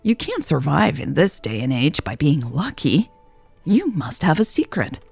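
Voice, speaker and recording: A woman's voice: deep voice, sing-song, a little slow, gravelly, darkish tone, slightly rough, dry recording, soft background music, heavily acted. A woman voicing a friendly, enthusiastic, flirty female customer in a romance audiobook. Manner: chatty, enthusiastic.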